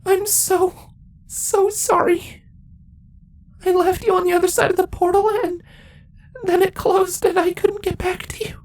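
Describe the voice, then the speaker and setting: A man voicing a grieving changeling mother in a trembling, pleading falsetto. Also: flat delivery, a little slow, long pauses, a little mellow.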